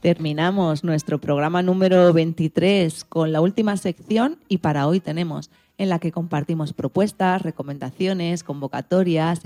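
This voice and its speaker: A woman's voice: lowish voice, warm tone. A woman hosting a radio program, reading from a script.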